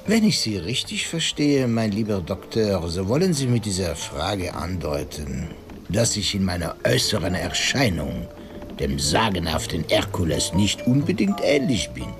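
A man's voice: deep voice, very animated, normal speed, over music. A man playing a dapper, courteous Belgian detective in a radio drama, speaking formally and elaborately with French interjections. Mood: courteous, wry.

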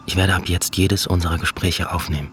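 A man's voice: low voice, fairly even intonation, fairly quick, fairly bright tone, faint music behind. An actor playing an anxious, earnest young executive who is trying to find his resolve in a radio drama. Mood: resolute.

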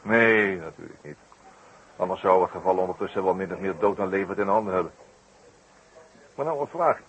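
A man's voice: low-pitched, a little fast, fairly bright tone, slightly rough, highs cut off, exaggerated voice. A man playing a shrewd detective in a 1960s Dutch radio drama, musing in a wordy, hesitant, drily ironic way. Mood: thoughtful. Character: shrewd, wry male detective, musing and deliberate. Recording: noisy old 1960s radio recording.

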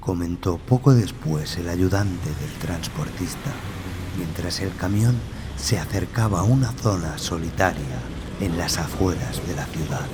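A man narrating a scripted horror story in a measured, suspenseful manner with dramatic pauses. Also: low voice, heavy background noise. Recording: loud music under the voice. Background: loud music bed.

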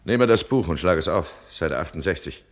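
A man's voice: sits low, lively intonation, normal speed. An actor playing an imperious, irritable duke in a radio drama, curt and contemptuous as he gives orders. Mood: stern, accusing.

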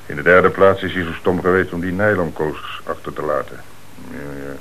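An actor playing a brisk, authoritative police commissioner in a radio play, on a hissy old recording. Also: male voice, deep voice, gravelly, a bit bright, rough recording. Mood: methodical, decisive. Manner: authoritative, methodical.

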